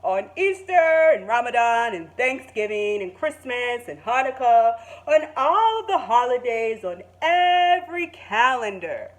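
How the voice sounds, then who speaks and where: A woman voicing a proud, overenthusiastic male school principal in a children's storybook, proclaiming with drawn-out emphasis. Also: a little high, very animated. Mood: grandly enthusiastic. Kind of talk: children's storybook read aloud.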